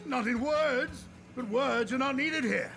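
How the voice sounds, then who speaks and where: An actor playing a gruff, hot-tempered warrior devoted to his god in a fantasy video game, with a deep gravelly voice and blustering, emphatic delivery. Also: a little slow, exaggerated voice. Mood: exultant. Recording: game music behind the voice. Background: loud game music.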